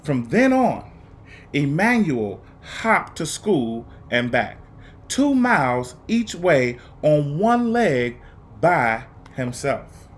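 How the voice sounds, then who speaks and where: A man reading a children's picture book aloud, phrasing it dramatically and deliberately with emphatic pauses. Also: a little high, sing-song, slight background noise. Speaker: storybook reader.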